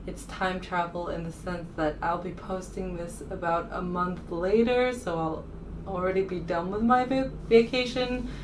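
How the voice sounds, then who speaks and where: A woman from the United States talking casually as a vlogger, rambling informally and hesitantly as she thinks aloud. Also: fairly low pitch. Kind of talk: casual rambling vlog talk to camera. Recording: distant mic with faint room noise.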